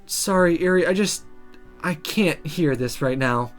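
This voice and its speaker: A man's voice: fairly high pitch, unhurried, soft background music, natural voice. A man voicing an intense, guilt-ridden young female magic student in a visual novel. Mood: guilty. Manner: urgent, self-blaming.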